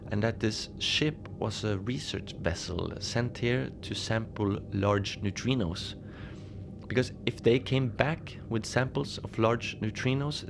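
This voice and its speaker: A man playing a lonely, unbothered polar station keeper, telling strange tales in a halting, understated, conversational way for a fiction podcast. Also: mid-range voice, gravelly. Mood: eerie, matter-of-fact. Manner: halting, conversational, understated storytelling with small hesitations. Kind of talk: cozy horror audio fiction monologue. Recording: steady noise behind the voice.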